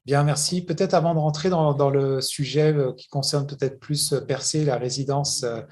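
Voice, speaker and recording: A man's voice: on the high side, steady tone, moderate pace, darkish tone. A man interviewing a guest, asking long, winding questions with hesitations.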